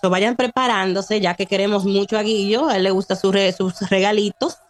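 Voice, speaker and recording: A woman's voice: mid-range voice, a little fast. Hesitant woman in her thirties giving tax advice. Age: 30s. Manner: hesitant, many fillers, mixes in English words. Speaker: tax service guest on radio. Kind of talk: informational radio chat. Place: radio studio.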